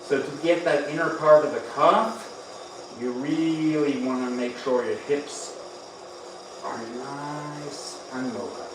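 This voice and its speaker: Chatty bodybuilding coach streaming from the gym. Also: male voice, mid-range voice, takes it slowly, noisy background. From born in Tennessee, raised in Ireland. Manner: chatty, rambling, answers chat while training. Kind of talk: casual live-stream chat between gym sets.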